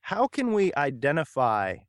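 Young guy hosting a podcast, smooth clean voice, chill and thoughtful, super dry. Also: on the high side, huge pitch swings, unhurried, no room sound. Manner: casual, thoughtful, personal asides. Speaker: podcast host. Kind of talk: interview questions.

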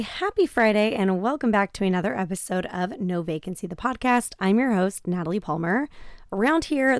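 A woman hosting a podcast solo, chatty and informal, with a slightly raspy, croaky voice, giving advice in an emphatic way. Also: medium pitch, no room sound, clean studio sound. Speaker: podcast host.

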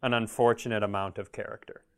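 A man's voice: on the low side, little pitch movement, quick pace. A man co-hosting a podcast in casual comedic chat, joking and rambling with a self-deprecating streak. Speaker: podcast co-host.

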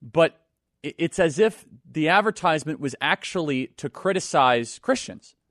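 Talk show host guy, kinda high voice, fired up and indignant, super dry close mic. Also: lively intonation, normal speed, fairly bright tone, no room sound. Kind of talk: opinionated talk show commentary.

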